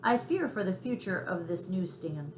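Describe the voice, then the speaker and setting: A woman voicing a smooth, polite, friendly male city cat in a storybook, with a silky manner. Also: on the low side, expressive, normal speed, close to an everyday voice. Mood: gloomy. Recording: roomy echo, faint background noise.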